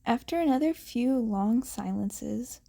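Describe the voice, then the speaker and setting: Slow, mellow woman narrating a story. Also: on the high side, lively intonation, vocal fry. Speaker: amateur audiobook narrator of fan fiction.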